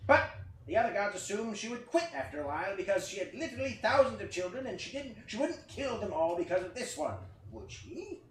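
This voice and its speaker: Man as a high-voiced theatrical storyteller, roomy and distant. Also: animated. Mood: dramatic, wry. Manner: wry, dramatic pauses, conversational asides. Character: lively puppet-show storyteller spinning a mock-epic creation fable. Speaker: actor narrating a fable in a play reading. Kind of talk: theatrical storytelling, staged play reading. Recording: roomy, distant mic, faint noise.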